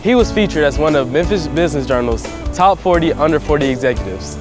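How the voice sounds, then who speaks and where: A man's voice: a little high, animated, fast, over music. An American man working as an interviewer, delivering scripted introductions and prepared questions. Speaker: interviewer, host.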